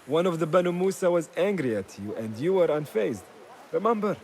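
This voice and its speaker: A man's voice: on the high side, lively intonation, a little fast, darkish tone. A male actor playing a stubborn, friendly comrade in a conversational video game scene. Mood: friendly, candid.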